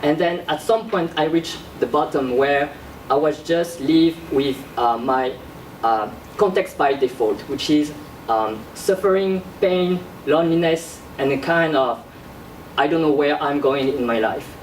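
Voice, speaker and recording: A young man, an artist born in Korea and raised in France, presenting his work in a classroom in a halting, casual way with many fillers. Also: a little high, recorded from a distance, slight background noise. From born in South Korea, raised in France. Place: classroom with projector screen. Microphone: far camera at back of room. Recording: roomy echo from across the room.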